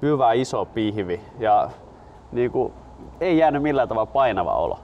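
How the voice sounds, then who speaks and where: A man's voice: medium pitch, expressive, lo-fi sound, a little hiss or hum. A man in his twenties hosting, moving between presenter-style announcements and casual chat. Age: 20s. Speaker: host.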